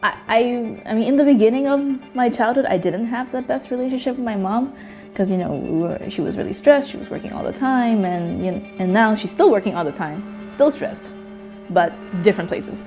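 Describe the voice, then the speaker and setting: A woman's voice: fairly high pitch, animated, a little fast, darkish tone, faint music behind. A nineteen-year-old woman from Myanmar, a native Burmese speaker, speaking candidly in a personal interview with hesitant restarts. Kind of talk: personal testimony.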